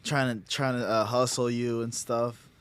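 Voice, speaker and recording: A man's voice: medium pitch, flat delivery, a little slow, a bit bright, smooth. Male co-host, loose slangy storyteller, casual and profane. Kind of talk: casual chat.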